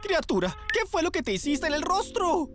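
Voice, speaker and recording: A man's voice: extremely high pitch, normal speed, soft background music, exaggerated voice. A man voicing a flamboyant, theatrical young fashion agent and caring mentor in a cartoon dub. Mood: shocked, dramatic. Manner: theatrical, expressive. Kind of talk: animated series dub in Spanish.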